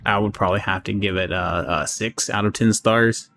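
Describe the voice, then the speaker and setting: A man's voice: lowish voice, fairly even intonation, moderate pace, a bit bright, soft background music. A man giving a casual game review, rambling and thinking aloud as he goes, with an easy readiness to chuckle. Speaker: gaming channel host. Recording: clearly audible background noise.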